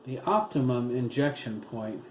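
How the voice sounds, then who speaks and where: A cold, clinical doctor, as a male reader puts him on who explains things in a measured, matter-of-fact tone. He speaks slowly and evenly. The voice rings in a roomy space over a constant hiss. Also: medium pitch, lightly acted. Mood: detached. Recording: roomy room with steady hiss.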